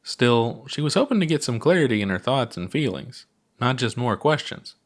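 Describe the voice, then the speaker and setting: A man reading his own written story as an unhurried, measured narrator. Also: medium pitch, very animated.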